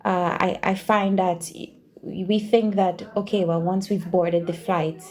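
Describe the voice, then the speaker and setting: Trinidadian woman, slightly low-pitched, emphatic. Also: flat delivery, a little slow, a little mellow. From Trinidad and Tobago. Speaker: podcast guest, doctoral researcher and university teacher. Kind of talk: conversational interview answers.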